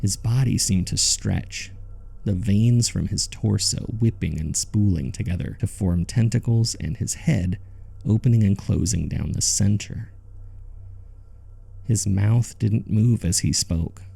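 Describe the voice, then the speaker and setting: A man playing a haunted survivor, narrating a horror audio drama in the first person with somber, measured suspense. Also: on the low side, gravelly, warm tone, breathy edge, exaggerated voice. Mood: somber, fearful.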